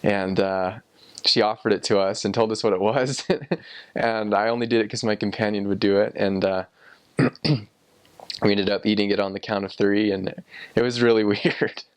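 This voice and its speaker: Young man, fairly low voice, casual storytelling, informal, rambling, thinking aloud. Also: flat delivery, moderate pace, smooth. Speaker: former missionary sharing experiences.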